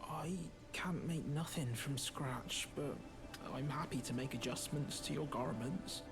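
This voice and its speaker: A woman's voice: rumbling low, loud music behind the voice. A woman playing a timid, eager-to-please demi-human seamster in a video game, speaking in a hesitant, deferential way. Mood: meek, pleading. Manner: hesitant, deferential, halting pleading. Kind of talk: video game character dialogue.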